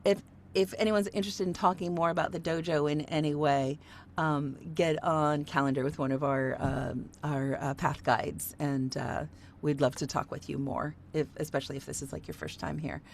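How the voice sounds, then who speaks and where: A woman's voice: deep voice, fairly even intonation, moderate pace, slight background noise. A woman hosting an online workshop, talking casually with many fillers and easy laughs. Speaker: host.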